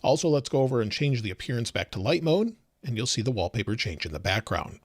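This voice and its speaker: A man demonstrates software in a dry, close-sounding recording. His intonation moves in lively swings while he explains each step in a steady, guiding way. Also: medium pitch, fast, no echo. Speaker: tech reviewer demonstrating software. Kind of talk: screen-recorded software walkthrough.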